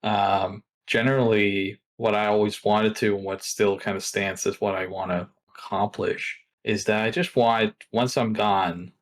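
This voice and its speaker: A man's voice: lowish voice, fairly even intonation, a little slow, few pauses. A middle-aged man from Norway, a podcast guest talking reflectively with many restarts. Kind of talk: casual interview talk.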